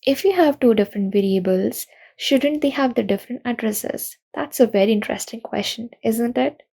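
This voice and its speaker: A young woman speaking at a programming conference, walking through technical pitfalls one by one. Her voice sits a little high but has a mellow, dark tone, with a lot of gravelly fry at the ends of phrases. She explains in an earnest, steady manner. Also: normal speed. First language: not Russian. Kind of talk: recorded technical talk.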